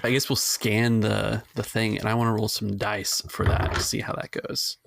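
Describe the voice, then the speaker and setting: A man's voice: lowish voice, flat delivery, moderate pace, vocal fry, noisy background. A man narrating a tabletop roleplaying game casually as the game master.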